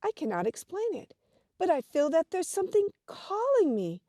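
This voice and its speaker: Woman acting a dreamy headstrong reporter lady, high soft mellow voice, polite, audio drama, very dry sound. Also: wildly swooping pitch, normal speed, dry recording, full character voice. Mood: entranced, uneasy.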